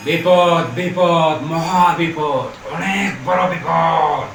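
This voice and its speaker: A man's voice: on the high side, fairly even intonation, drawn-out words. A man playing a stern, boastful village exorcist with a rural Bangladeshi accent, speaking in a portentous, incantatory way in an amateur skit. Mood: grave. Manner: incantatory, portentous, repeats phrases. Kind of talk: amateur horror-comedy skit acting. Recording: roomy, distant from mic, faint noise.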